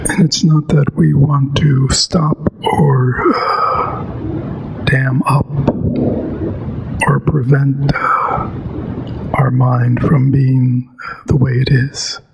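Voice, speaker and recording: A man teaching meditation in a measured, halting way with long pauses, as in a guided practice talk. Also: little pitch movement. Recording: clear background noise, ambient rumble.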